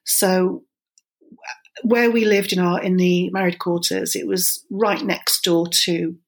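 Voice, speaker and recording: A woman with a lowish, husky voice hosts a relaxed podcast conversation. She talks at length in a chatty, explanatory way and carries the conversation easily. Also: slow pace. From Germany. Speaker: podcast host. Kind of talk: casual conversational interview.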